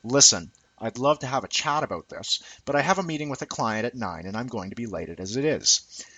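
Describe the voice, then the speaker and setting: A man voicing a self-absorbed, hurried working mother in a satirical essay reading, airy and mock-innocent. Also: mid-range voice, lively intonation, pushed and bright, husky, no room sound. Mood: breezy, dismissive.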